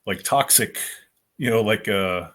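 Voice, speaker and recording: A man in his fifties, a guest author, telling his stories in a rambling, animated way with lots of fillers. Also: lowish voice, unhurried. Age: 50s. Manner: animated, rambling storyteller with many fillers and restarts. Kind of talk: casual storytelling in a podcast interview.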